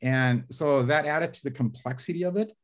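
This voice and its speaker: A man's voice: fairly high pitch, moderate pace. Man rambling on a video call. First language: Spanish. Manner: rambling, many fillers and restarts, gesturing. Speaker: screenwriter guest. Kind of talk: casual interview over video call. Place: home study with bookshelves. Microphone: video call webcam.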